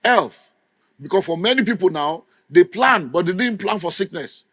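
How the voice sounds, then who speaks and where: A man's voice: high voice, animated, moderate pace, a bit bright, breathy edge, no room sound, studio quality. A middle-aged Nigerian man preaching a sermon with an emphatic, scolding delivery. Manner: emphatic, scolding, mimics people in quotes. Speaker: pastor.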